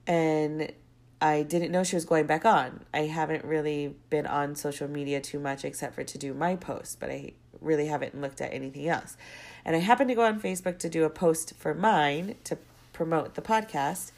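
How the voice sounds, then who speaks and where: Low-voiced stuffy woman podcaster rambling. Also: darkish tone. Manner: rambling, goes off on tangents. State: stuffy, congested with a cold. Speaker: podcast host. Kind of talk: casual solo podcast monologue.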